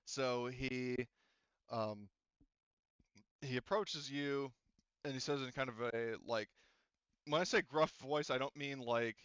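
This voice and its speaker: A man's voice: mid-range voice, steady tone, takes it slowly, long pauses, fairly bright tone, smooth, no room sound, lo-fi sound. A man narrating a tabletop roleplaying game as game master, casually improvising and often searching for words.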